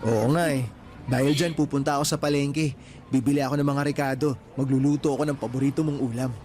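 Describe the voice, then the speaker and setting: A man's voice: mid-range voice, fairly even intonation, quick pace, a little mellow, faint music behind, natural voice. A man acting an earnest, hardworking young husband and father-to-be in a radio romance drama, talking in an easygoing conversational way. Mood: easygoing, teasing.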